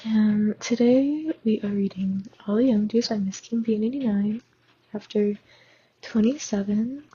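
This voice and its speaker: A woman with a sore, hoarse throat reading fanfiction aloud in a casual way, stumbling and restarting now and then. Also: mid-range voice, warm tone, dull audio, a little hiss or hum. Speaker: fan audiobook reader.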